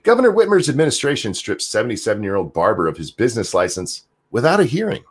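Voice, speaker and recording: A man hosting a podcast, chatting casually and reading news articles aloud with a jokey, animated delivery. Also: medium pitch, fast talker, slightly rough. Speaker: podcast host.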